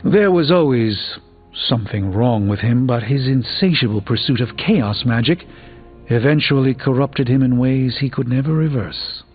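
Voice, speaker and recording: A man's voice: medium pitch, slightly rough, close to an everyday voice. Man playing grave, learned sorcerer, muffled game audio. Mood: grave, explanatory. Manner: measured, formal, articulate. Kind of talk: video game character dialogue. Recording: dull band-limited game audio, music behind.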